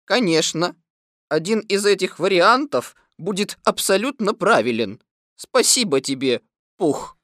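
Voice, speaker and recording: A woman voicing a gloomy, sarcastic old male donkey in a storybook, drawling slowly and flatly. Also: lowish voice, dry recording, studio quality. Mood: sardonic. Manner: slow, flat, sardonic drawl.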